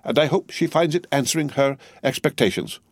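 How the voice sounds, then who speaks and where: A man's voice: mid-range voice, lively intonation, a little fast, dry recording, studio quality, voice pushed up, lightly acted. A man doing a tearful, frantic lady companion. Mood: anxious. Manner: wild, breathless distress.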